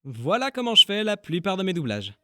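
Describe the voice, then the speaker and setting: Informal, higher-voiced man vlogging over music. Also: big pitch swings, brisk, fairly bright tone, no echo. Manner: self-deprecating, informal. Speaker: amateur voice dubber and channel creator. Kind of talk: casual vlog narration.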